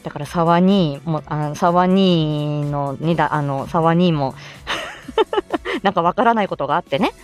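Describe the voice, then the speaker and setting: A woman's voice: low voice, animated, unhurried. Giggly, effusive woman chatting over faint music. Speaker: livestream host and amateur voice actor. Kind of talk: casual chat with listeners.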